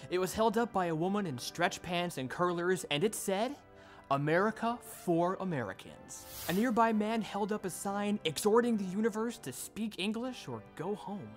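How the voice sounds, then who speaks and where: A woman's voice: medium pitch, animated, normal speed, faint music behind. A woman delivering scripted lines in a readers theater recitation.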